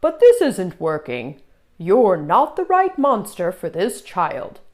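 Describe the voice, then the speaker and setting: A woman's voice: medium pitch, huge pitch swings, takes it slowly, a little mellow. A woman voicing a stern male monster boss in a storybook, formal, pompous and emphatic as he instructs. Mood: disapproving.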